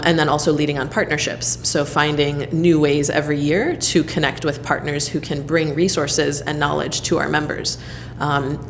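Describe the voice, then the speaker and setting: A woman from the United States with a notably low voice answers interview questions at an easy conversational pace. She talks thoughtfully, filling her pauses with ums and you-knows. A faint hiss sits under her voice. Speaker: interviewee, leader at a civic nonprofit hub.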